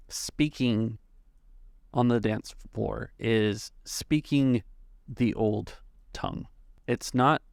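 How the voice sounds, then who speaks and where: A man working as game master, narrating a tabletop game off the cuff in measured, halting phrases. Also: lowish voice, vocal fry, darkish tone, dry recording, clean studio sound.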